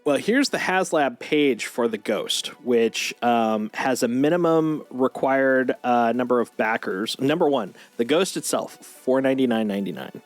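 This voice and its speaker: A man's voice: medium pitch, expressive, a little slow, few pauses. A man chatting casually as a livestream host, showing things off in an enthusiastic, geeky, rambling way. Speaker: streamer, host. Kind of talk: casual livestream chat, showing off collectible toys. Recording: music playing behind the voice. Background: music.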